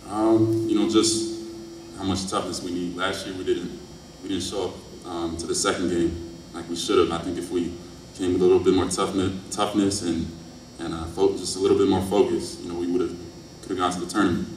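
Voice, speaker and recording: A man in his early twenties, a college athlete, answering press questions in a thoughtful, hesitant, low-key way. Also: level tone, brisk, a little mellow. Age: early 20s. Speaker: college basketball player. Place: press conference room, indoors. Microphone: table microphone on stand. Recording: echoey room, distant mic, faint noise.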